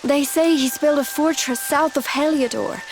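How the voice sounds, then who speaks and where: Determined refugee in a game, high hopeful voice, earnest, rain noise behind. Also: female voice, normal speed. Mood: hopeful, urging. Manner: earnest, urging.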